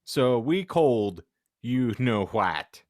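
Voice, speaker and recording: Very animated, slow-reading man, theatrical. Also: medium pitch, dry recording, clean studio sound. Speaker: podcast host and narrator. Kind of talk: theatrical comic reading of fan fiction.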